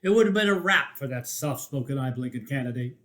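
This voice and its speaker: A man's voice: mid-range voice, lively intonation, a little fast, a bit bright. A man reading a first-person essay aloud as an emphatic, rhetorical storyteller. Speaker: reader of a written personal chronicle.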